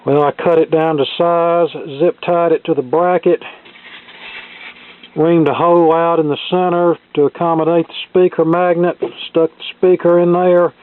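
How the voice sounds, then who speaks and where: A man's voice: fairly high pitch, no room sound. A man giving casual, plainspoken commentary as he presents a radio repair, in a folksy way. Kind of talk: casual workbench repair narration. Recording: phone-like lo-fi sound, music behind. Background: tube AM radio playing.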